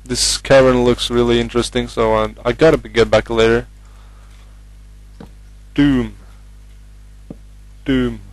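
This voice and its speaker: A young man from Norway speaks English with a Norwegian accent while commentating a game. His delivery is flat and even, with little rise and fall. He hesitates often, filling gaps with ums and uhs as he chats. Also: mid-range voice, unhurried, pauses often, no room sound, low-quality recording. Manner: hesitant, many fillers, chatty. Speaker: gamer.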